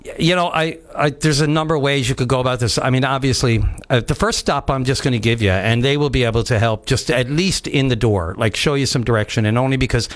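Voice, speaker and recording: A man hosting a call-in radio show, earnest and halting, with lots of "you know" and restarts. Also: medium pitch. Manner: earnest, halting, with many fillers. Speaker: radio host.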